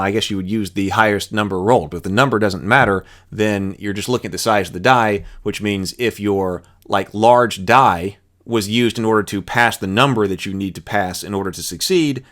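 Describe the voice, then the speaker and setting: Male tutorial host, lowish voice, reads rules aloud and thinks out loud in an explanatory way. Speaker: host reading and explaining a game rulebook. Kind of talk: read-aloud rules tutorial with off-the-cuff commentary.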